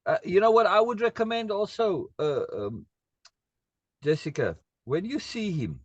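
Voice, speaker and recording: Lively, emphatic man giving advice. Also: sits high, husky, no room sound, highs cut off. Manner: emphatic, lecturing advice, slow for stress. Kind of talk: casual conversation.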